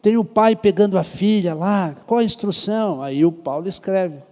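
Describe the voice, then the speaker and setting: A fairly high-voiced man with a Brazilian Portuguese accent teaching a church Bible class. His tone is mellow and unhurried, and he keeps turning questions back to the class. The sound carries a long reverb, as if in a large church hall. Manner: conversational, asks the class questions. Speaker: pastor teaching Sunday school class.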